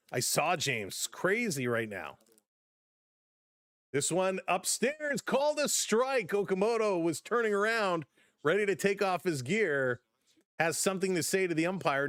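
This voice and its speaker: A man hosting a casual live-stream baseball play-by-play, chatty and upbeat as he greets viewers, his voice slightly hoarse from overuse. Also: a little high, a bit bright, no room sound, clean studio sound. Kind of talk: casual play-by-play with chat reactions.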